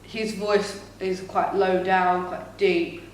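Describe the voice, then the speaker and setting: A woman's voice: lowish voice, level tone, takes it slowly, recorded from a distance. Young woman in a very echoey room. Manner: reflective, thinking aloud with fillers. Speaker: podcast co-host, actor. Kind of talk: casual chat. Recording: very echoey room, faint noise.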